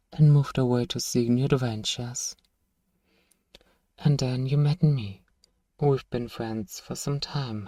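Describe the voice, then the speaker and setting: A man voicing a seductive, manipulative vampire lord in an ASMR roleplay, coaxing and possessive, with a breathy voice that often drops toward a whisper. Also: mid-range voice, dark tone, dry recording, distant mic, close to an everyday voice. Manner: slow, soothing, coaxing, possessive. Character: seductive, manipulative male vampire lord hypnotising captive prey.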